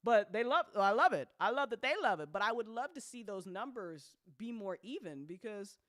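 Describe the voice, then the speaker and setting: A woman host gives an informal update in a medium-pitched voice. She is blunt and slangy, playful in her phrasing and quick to swear. The sound is dry, with very little room in it. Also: animated, no room sound. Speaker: host and audio drama producer. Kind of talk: casual livestream-style update talk.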